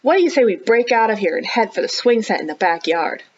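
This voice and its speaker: A woman's voice: on the high side, lively intonation, fast talker, husky, dull audio. A woman voicing a bold, dramatic young girl in a storybook, with an urgent, theatrical manner. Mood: conspiratorial.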